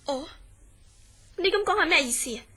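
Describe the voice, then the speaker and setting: A woman's voice: high voice, lively intonation, normal speed, pushed and bright. A woman playing a distressed, insistent young woman in a Cantonese radio horror drama, with a Hong Kong accent. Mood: anxious, questioning. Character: distressed, insistent young woman seeking answers about a death.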